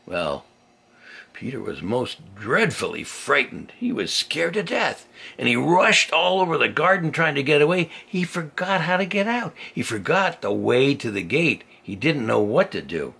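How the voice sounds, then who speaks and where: An older man, a grandfather, reads a children's story to a young child with expressive, playful delivery and simple chatty explanations, in a husky, gravelly voice. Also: medium pitch, a little slow.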